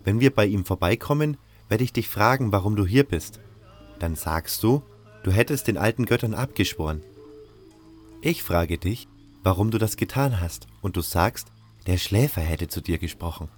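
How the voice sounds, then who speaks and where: A man's voice: on the low side, lively intonation, moderate pace, soft background music, pitched below the performer's own voice. A man voicing a helpful young cult novice in a fantasy audio drama, explaining things matter-of-factly and deferring to superiors. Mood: conspiratorial, instructing.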